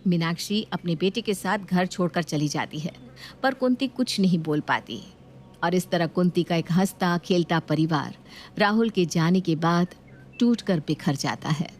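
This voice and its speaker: A woman narrating a cartoon moral story in a steady, plain storytelling voice. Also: lowish voice, measured pace.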